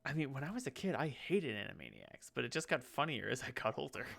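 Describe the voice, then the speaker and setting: A man's voice: mid-range voice, very animated, quick pace, creaky, a bit bright. A man in casual chat as game master, teasing his players dryly over an online voice call. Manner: dry, teasing.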